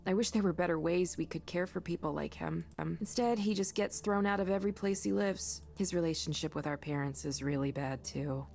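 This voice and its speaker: Lowish-voiced, candid co-worker. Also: female voice, fairly quick, gravelly, few pauses, a little mellow, soft background music. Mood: weary concern. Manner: conversational, frank. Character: candid, weary but kindly woman, friendly co-worker. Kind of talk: visual novel voice acting.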